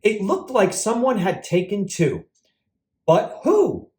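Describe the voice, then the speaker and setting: A man's voice: high voice, sing-song, takes it slowly, darkish tone. A man reading a picture book aloud for children in a theatrical, exaggerated storyteller style. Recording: roomy echo.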